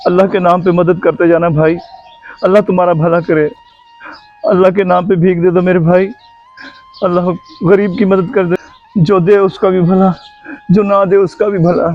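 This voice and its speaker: A man's voice: high-pitched, little pitch movement, moderate pace, pauses often, muffled recording, faint music behind, lightly acted. A man playing a poor, honest middle-aged tailor and father driven to beg, plaintive and wounded, in an amateur moral drama. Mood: pleading, despairing. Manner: plaintive, pleading. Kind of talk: amateur moral short-film acting.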